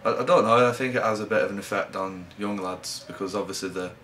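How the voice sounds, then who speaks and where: A young man from England, a rugby league player giving low-key, halting interview answers. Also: a bit bright, a little hiss or hum.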